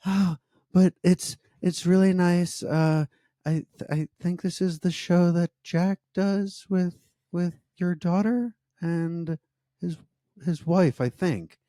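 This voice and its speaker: A flustered dad who stammers and trips over his words, as a man puts him on. He talks slowly, and the sound is dry and close. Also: high voice, fairly even intonation, mellow, dry recording. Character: flustered father from New York.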